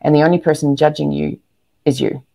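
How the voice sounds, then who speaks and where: A woman's voice: sits low, little pitch movement, brisk, vocal fry, mellow. A woman talking energetically as an interview guest, emphatic and often repeating words for emphasis. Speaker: guest, entrepreneur and speaker. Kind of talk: animated interview talk to a live online audience.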